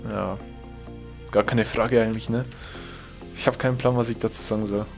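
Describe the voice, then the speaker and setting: A young man from Germany, a gaming YouTuber chatting casually over his gameplay, rambling and correcting himself, reacting loudly to the game. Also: on the low side, fairly even intonation, moderate pace, gravelly, faint music behind.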